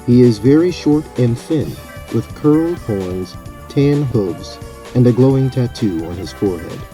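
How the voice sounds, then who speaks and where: Male game-master narrator for scripted fantasy adventure, deeply mellow tone, measured storytelling, light music bed. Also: animated. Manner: measured, descriptive.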